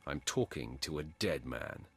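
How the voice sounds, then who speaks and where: Very deep, arrogant Sith warrior. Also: male voice, animated, unhurried, fairly bright tone, breathy edge, heavily acted. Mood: menacing. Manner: haughty, menacing, terse. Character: cold, arrogant male Sith warrior apprentice, menacing. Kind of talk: video game voice acting.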